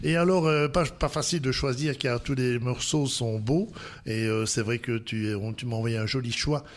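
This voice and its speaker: A man's voice: mid-range voice, normal speed. A man hosting a radio interview, friendly and chatty, with many fillers as he asks his questions. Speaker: radio host.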